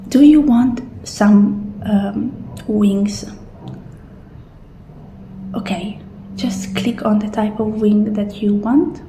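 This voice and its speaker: A woman doing a soft-spoken ASMR roleplay as an assistant, often dropping into a whisper, with gentle, reassuring guidance. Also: mid-range voice. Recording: roomy echo, faint background noise.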